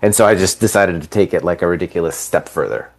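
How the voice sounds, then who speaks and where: A man's voice: sits low, fast, creaky. A Canadian man whose first language is Italian, a film director chatting casually as a radio guest, rambling with many fillers.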